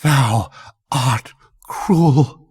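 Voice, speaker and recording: Old man reading as miserly Avarice, husky and slow. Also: mid-range voice, a little mellow, dry recording, clean studio sound, voice pushed up, heavily acted. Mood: pleading, reproachful. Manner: slow, drawn-out, emphatic archaic speech. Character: female Avarice, miserly, possessive and defiant.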